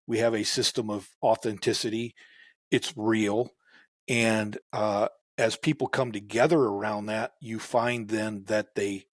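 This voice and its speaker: A man who farms, talking in a relaxed way as a podcast guest, with many fillers and restarts. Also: mid-range voice, no echo, clean studio sound. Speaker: farmer guest. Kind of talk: casual interview talk.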